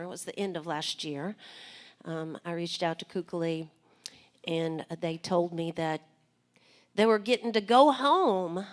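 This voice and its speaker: Slow, hesitant woman radio host. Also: mid-range voice. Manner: hesitant, searching with many ums. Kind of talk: live radio interview.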